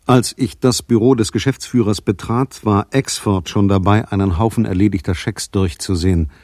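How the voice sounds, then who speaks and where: A man's voice: lowish voice, steady tone, normal speed, a little mellow, no echo, natural voice. An actor playing a private detective who narrates his case in a sober, matter-of-fact way for a radio play. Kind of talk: scripted first-person radio play narration.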